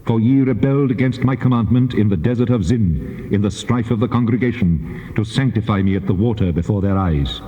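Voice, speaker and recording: An actor playing a solemn, commanding God in a dramatized scripture recording, speaking in a measured, authoritative declamation. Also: male voice, lowish voice, warm tone, soft background music, natural voice. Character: the Lord God, solemn commanding male deity. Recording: dull tape, long cavernous reverb.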